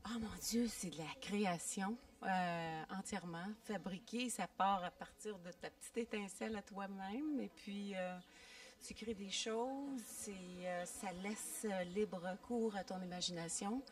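A woman's voice: mid-range voice, unhurried, far from the mic. A woman answering with heartfelt enthusiasm on a handheld mic. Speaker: vox pop participant. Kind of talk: casual street interview.

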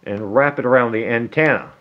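A middle-aged man giving a casual hands-on repair tutorial at a table indoors, talking slowly and haltingly, half to himself as he works. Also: mid-range voice, muffled recording. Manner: slow, halting, talks to himself while working. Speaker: DIY hobbyist demonstrating a repair.

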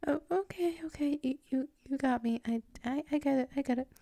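A woman playing a sleepy, submissive yet proud tsundere werewolf in an intimate ASMR roleplay, stammering softly and often slipping into whispers. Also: high-pitched, fairly quick, no room sound, clean studio sound. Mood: flustered, protesting.

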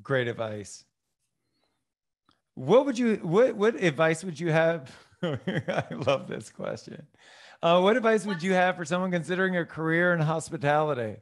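American male host, thirties, slightly raised pitch, conversational interviewing, close studio microphone. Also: lively intonation, moderate pace. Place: indoor home studio. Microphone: studio mic on boom arm, close.

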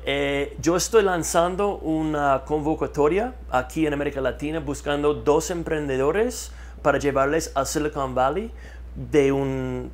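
Man, emphatic repeats. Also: on the high side. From United States. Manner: repeats words for emphasis, animated hand gestures. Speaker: guest, marketing consultant. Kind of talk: energetic interview talk. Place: studio with map backdrop. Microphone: lapel mic.

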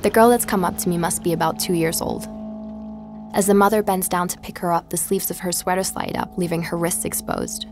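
An actress playing an earnest, determined young woman investigator in an audio drama, speaking in a measured, reflective way. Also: on the low side, big pitch swings, over music. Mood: reflective narration. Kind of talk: audio drama, conversation and first-person narration.

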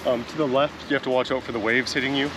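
A man in his thirties in casual chat on a beach, relaxed and matter-of-fact as he gives snorkeling tips. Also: mid-range voice, quick pace, background noise. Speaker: travel vlogger, co-host. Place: outdoors at a beach.

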